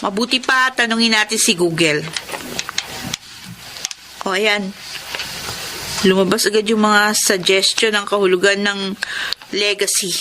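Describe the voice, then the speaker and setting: A woman's voice: medium pitch, steady tone, measured pace, pauses often, background noise, lightly acted. An actress playing a patient older sister explaining things to her younger sibling, in a radio drama. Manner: patient, mildly scolding. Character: patient older sister Ella, mildly scolding.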